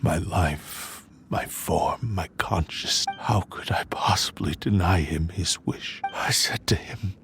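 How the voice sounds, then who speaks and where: A man's voice: low-pitched, takes it slowly, few pauses, fairly bright tone, faint music behind, exaggerated voice. A man voicing the proud, despairing spirit of an old sword in a video game, solemn and formal. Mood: anguished.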